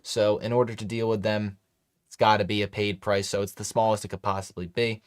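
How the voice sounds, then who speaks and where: A man's voice: fairly low pitch, level tone, brisk, clear, resonant tone, no room sound, clean studio sound. Upbeat man hosting a live stream. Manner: chatty, upbeat, talks to chat. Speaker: livestream host. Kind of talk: casual live stream chat.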